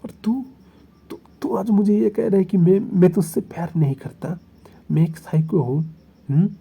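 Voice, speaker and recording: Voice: medium pitch, unhurried, mellow, slightly rough. A storyteller voicing a young man who pleads with and then confronts his partner. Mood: hurt intense. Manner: coaxing, then hurt and intense. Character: young man, doting then possessive lover.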